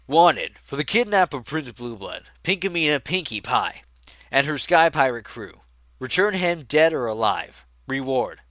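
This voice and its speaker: A man plays a stern royal guard in a fantasy audio drama. He proclaims officially, with big swings of pitch. The recording is very dry. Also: big pitch swings, measured pace, fairly bright tone, no room sound. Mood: stern, commanding. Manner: declamatory, official.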